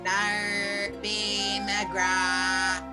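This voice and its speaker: Woman wailing as a very slow ghostly pirate. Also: mid-range voice, monotone, loud music behind the voice. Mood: eerie. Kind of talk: radio play acting.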